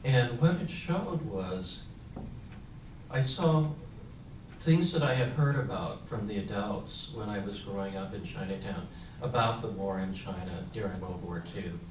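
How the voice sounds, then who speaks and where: A man's voice: fairly low pitch, measured pace, darkish tone. Older man telling his story in an echoey hall. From San Francisco, United States. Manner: reflective, with frequent fillers and restarts. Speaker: veteran panelist. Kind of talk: personal storytelling at a panel discussion. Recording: echoey, distant mic with room noise.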